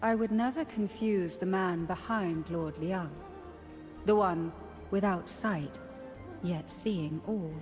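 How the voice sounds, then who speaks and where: An actress playing a courteous, well-connected woman of rank in a video game, with formal, deliberate delivery. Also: lowish voice, darkish tone. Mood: poised, knowing. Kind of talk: scripted video game dialogue. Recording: long reverb, music underneath. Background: game music.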